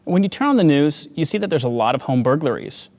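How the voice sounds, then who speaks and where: A man speaking as an expert guest, giving an interview-style sales pitch. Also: big pitch swings, fast talker, darkish tone, in a large hall. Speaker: home security expert guest.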